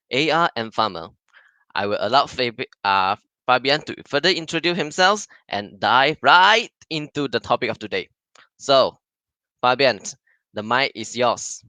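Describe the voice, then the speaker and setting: A man's voice: mid-range voice, bright, forceful tone, no echo. A young man hosting an online webinar, introducing the session and speaker with frequent restarts. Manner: halting, restarts words often. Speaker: webinar host.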